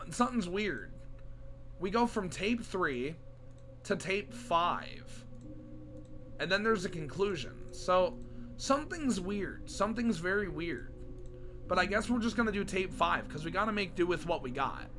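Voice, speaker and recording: A hoarse young man with a cold, chatting casually as host of a reaction video and swearing when something surprises him. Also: high-pitched, expressive, measured pace, a bit bright.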